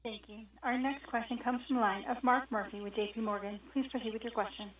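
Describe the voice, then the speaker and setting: A woman's voice: on the high side, steady tone, quick pace, far from the mic. A woman working as conference call operator, making scripted announcements. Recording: very noisy, tinny, echoey phone line.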